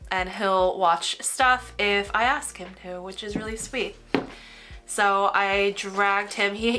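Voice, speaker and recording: A woman's voice: medium pitch, bright, forceful tone. A young woman with a slightly nasal voice, tired from a cold, rambling casually while she packs orders. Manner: rambling, heavy use of "like". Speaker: crafting vlogger.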